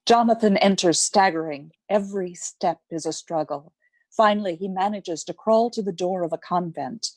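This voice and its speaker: A woman's voice: medium pitch, normal speed, no room sound. A woman reading stage directions as the narrator of a scripted radio play.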